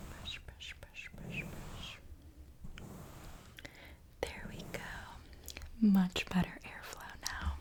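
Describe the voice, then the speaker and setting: A woman speaks in a soft, breathy whisper at a slow, unhurried pace. She plays a friendly, caring mechanic in an ASMR roleplay. Her manner is gentle and reassuring throughout. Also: fairly low pitch. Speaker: ASMR performer playing a robot mechanic.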